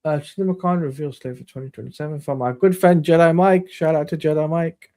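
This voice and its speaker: Fairly high-voiced man losing his voice. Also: steady tone, a little fast, mellow. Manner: rambling, reads news items aloud. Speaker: stream host. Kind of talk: casual live-stream chat.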